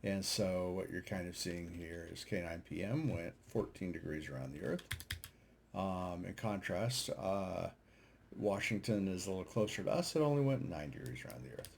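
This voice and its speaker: A man's voice: low-pitched, expressive, normal speed, gravelly, a little hiss or hum. A man giving a casual, unscripted commentary over charts, hesitant and thinking aloud as he goes. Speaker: amateur radio operator and video host. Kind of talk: casual screen-share commentary on data charts.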